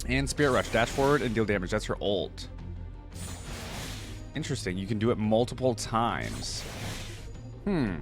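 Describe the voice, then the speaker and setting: A man's voice: fairly low pitch, animated, moderate pace, long pauses, over music. A man hosting a reaction video, commenting casually on a game and thinking aloud in an informal way. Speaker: reaction video host, gamer.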